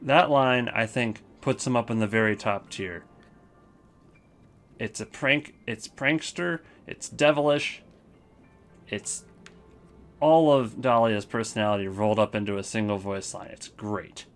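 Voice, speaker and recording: A man's voice: lowish voice, steady tone, measured pace, soft background music. A man giving casual, rambling commentary on a ranking list as a video host. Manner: rambling, informal.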